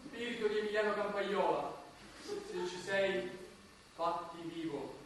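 High-voiced hapless spirit in an echoey hall. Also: male voice, a little slow, noisy background. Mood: earnest, hapless. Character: dead spirit haplessly practising as medium and judge. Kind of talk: comic radio stage play acting. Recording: echoey hall, far from mic, music.

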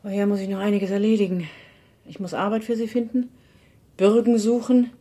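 An actress playing a practical, strict but caring middle-aged foster mother in a German radio drama, brisk and admonishing. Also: mid-range voice, flat delivery, dark tone, close to an everyday voice. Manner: brisk, matter-of-fact, admonishing.

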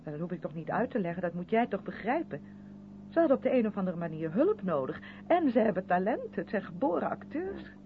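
A narrow, hissy vintage radio recording carries an earnest, defensive woman of the theatre. Her mid-pitched voice moves animatedly as she insists and justifies herself in radio drama style. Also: big pitch swings, a little fast. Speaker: radio play actor. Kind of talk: Dutch 1970s radio drama acting.

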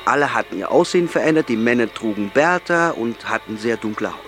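An actor playing an earnest, solemn young man speaking deliberately in an audio drama. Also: mid-range voice, expressive, fairly bright tone, faint music behind, natural voice. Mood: earnest, searching.

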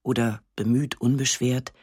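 A woman narrating a literary text in the first person, with a measured, restrained and sober delivery on a close studio microphone. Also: rumbling low, fairly even intonation, darkish tone, breathy edge, dry recording. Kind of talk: literary reading of a memoir-like novel.

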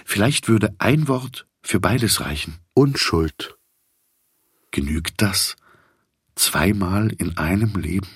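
A man reading literary fiction as an audiobook narrator, in a measured, reflective manner. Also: sits low, expressive, husky, dry recording.

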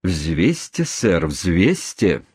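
A man reading aloud and voicing a small, cautious, courteous lawyer who speaks smoothly. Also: deep voice, very animated, normal speed, a little mellow, dry recording, studio quality. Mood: placating.

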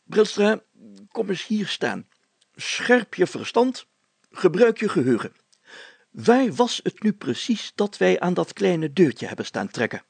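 A puffed-up village mayor from a storybook. The voice sits well above an everyday male pitch, with a rough, creaky edge, and declaims grandly, stretching the solemn words with great self-importance. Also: sing-song, no room sound, heavily acted. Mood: commanding. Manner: pompous, declamatory, drawn-out solemn phrasing. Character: pompous, excitable village mayor, grandiloquent adult man.

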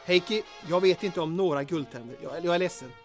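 A man's voice: on the high side, over music, natural voice. A man playing an apologetic, morbid keeper of corpses in an audio drama, talking defensively. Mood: defensive, sheepish. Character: apologetic, macabre morgue-keeper with morbid humour.

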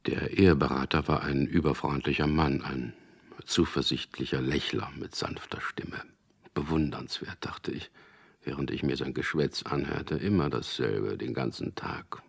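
An actor playing a dry, guarded middle-aged official who narrates a radio drama in an understated, gravelly way. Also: male voice, a bass voice, normal speed, exaggerated voice. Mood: wry narrating. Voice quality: gravelly, husky. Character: Peter, dry, guarded propaganda official about to marry. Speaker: narrator and lead character.

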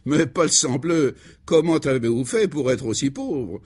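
A man acts out a gushing male society snob in a comic radio serial. He is affected and pompous, condescending in every phrase, and lifts his pitch as he effuses. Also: animated, unhurried, darkish tone, husky. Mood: pompous admiration.

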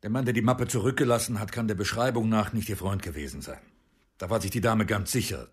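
An actor playing a stern police inspector in a radio crime drama, speaking formally and probingly in a husky voice. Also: male voice, fairly low pitch, quick pace. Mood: grave, official.

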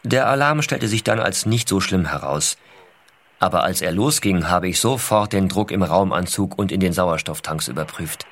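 An actor playing an American astronaut father, reading his letters in a measured, intimate, explanatory way. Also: low voice, lively intonation, a bit bright, full character voice. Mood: calm, explanatory. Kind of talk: scripted first-person letter narration.

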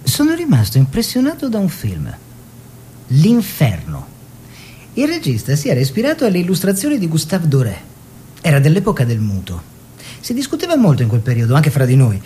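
Animated, ironic husband. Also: male voice, fairly high pitch, slight background noise. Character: teasing, ironic, cultured Italian painter and husband. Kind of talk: theatrical radio drama, storytelling and bantering dialogue.

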